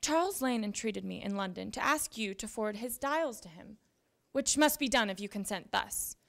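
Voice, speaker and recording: A young woman giving a dramatic reading of historical letters in deliberate, formal phrasing, on a handheld microphone in an event room. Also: mid-range voice, expressive, creaky, fairly bright tone. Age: young adult. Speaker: reader at a library event. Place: indoor event room with small audience.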